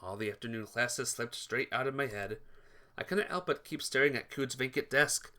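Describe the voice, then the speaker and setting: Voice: medium pitch, moderate pace, sharp tone. A let's player reading a visual novel aloud as dramatic narration, occasionally stumbling and correcting the wording.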